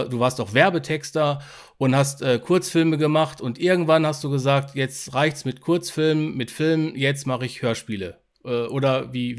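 A man co-hosting a podcast, asking questions in a casual, conversational interview style. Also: medium pitch.

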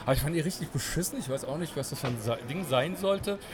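A man's voice: on the high side, lively intonation, fast, over music. A man giving a casual, slangy interview as an event organiser. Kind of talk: casual, jokey interview chat. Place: busy audio drama convention. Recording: busy convention crowd behind voice.

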